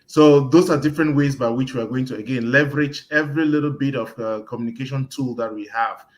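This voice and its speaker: A man of middle pitch who moderates a casual panel and keeps things moving. He talks in a brisk, organizing way and sounds like he is on a video call.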